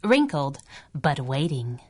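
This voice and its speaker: A woman's voice: a bass voice, big pitch swings, slow, full character voice. A woman playing a sassy, menacing masked crime-fighter, mocking and wisecracking in radio adventure style. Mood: breezy, smug.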